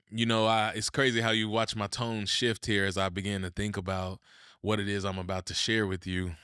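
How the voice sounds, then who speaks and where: Bright-voiced man telling a story. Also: smooth, dry recording, clean studio sound. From Mississippi, US. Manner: animated storyteller, drops low and slow for serious parts. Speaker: podcast host. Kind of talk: personal storytelling monologue.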